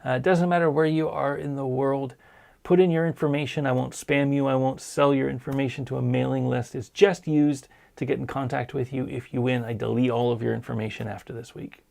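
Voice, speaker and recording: A man hosting a casual live-stream show, hoarse from a sinus cold, talking in an earnest, encouraging way. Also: medium pitch, fairly even intonation, a little fast, a little mellow. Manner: earnest, encouraging, plain-spoken. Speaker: podcast host.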